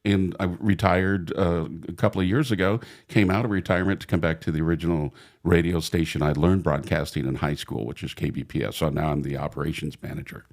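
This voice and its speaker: A man's voice: low-pitched, fairly even intonation, fairly quick, darkish tone. A man in his sixties from Oregon, a longtime radio broadcaster, talking casually as a guest, self-deprecating, laughing easily and repeating words as he goes. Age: 60s. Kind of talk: casual interview talk.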